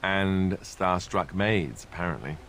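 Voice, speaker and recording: An actor playing a self-deprecating, reluctant young royal bastard in a video game, speaking in a wry, sarcastic manner. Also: male voice, low voice, slow pace, fairly bright tone, a little hiss or hum, exaggerated voice.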